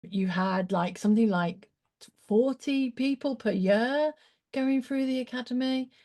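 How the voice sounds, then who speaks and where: Female talk host, effusive and enthusiastic; mellow, relaxed timbre. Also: fairly high pitch, unhurried. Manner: effusive, gushing praise, animated. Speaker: show host. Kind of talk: casual interview chat.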